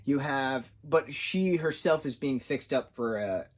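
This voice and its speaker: A man of about thirty giving a casual, unscripted TV review, rambling and halting as he searches for his words. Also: mid-range voice, lively intonation. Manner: rambling, halting, loses his train of thought. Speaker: TV show reviewer.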